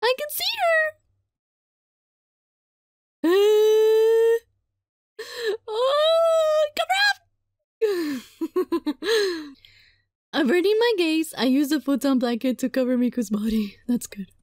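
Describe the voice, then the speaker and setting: A woman reading a visual novel aloud as a let's play, dramatic and playful, in a giggly, flustered, excitable manner. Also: very high voice, slow pace, long pauses, no room sound, studio quality. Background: music.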